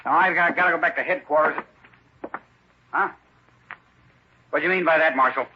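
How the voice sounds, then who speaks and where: An actor playing a gruff, no-nonsense police lieutenant in an old radio drama, husky, terse and blunt. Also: male voice, on the high side, normal speed, slight background noise, lightly acted. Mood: firm, warning. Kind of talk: 1940s-50s radio acting. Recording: muffled vintage radio recording.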